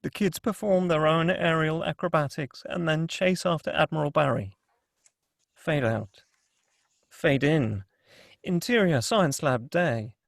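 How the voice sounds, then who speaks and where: Man narrating a screenplay, dry gravelly voice. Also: on the high side, measured pace, no echo. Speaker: script narrator at a table read. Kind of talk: scripted narration.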